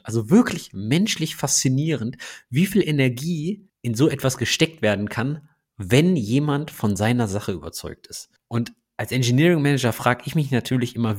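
A man with a mid-range voice co-hosts a casual tech podcast. He talks in a jokey, teasing manner and tells things like animated little stories. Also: mid-range voice. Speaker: podcast co-host, engineering manager.

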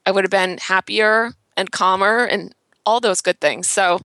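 Bright, dry-voiced woman talking candidly. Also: medium pitch, fairly even intonation, unhurried, no room sound. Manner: earnest, self-reflective, laughs lightly, many "um" and "like". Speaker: interior designer and blogger, guest. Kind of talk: candid podcast interview chat.

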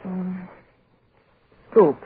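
An actress playing a prim, businesslike office secretary in 1940s radio drama style. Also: female voice, lowish voice, fairly even intonation, drawn-out words, slightly rough, no echo, heavily acted. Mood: bewildered, shocked. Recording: muffled tinny 1940s radio recording.

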